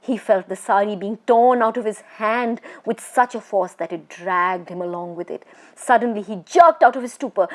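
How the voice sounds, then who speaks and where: A woman storyteller narrating a children's horror story in an expressive, suspenseful, dramatic way. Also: medium pitch.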